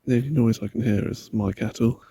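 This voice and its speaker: Mellow-voiced man musing outdoors. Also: medium pitch, fairly even intonation, brisk, a little hiss or hum. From Wales. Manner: quiet, musing, talks to himself. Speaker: vlogger exploring outdoors. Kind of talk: casual hiking vlog narration. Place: outdoors in hills and woods.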